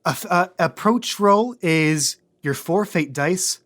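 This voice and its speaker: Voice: mid-range voice, lively intonation, takes it slowly, fairly bright tone. A game master narrating and refereeing a tabletop role-playing podcast.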